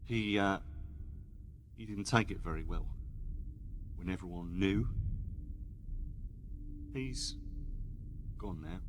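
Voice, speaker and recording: A man's voice: sits low, slow pace, pauses often, slight background noise. A man playing an aggrieved, scornful office worker in a radio play, speaking reproachfully. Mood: bitter. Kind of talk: radio play monologue acting.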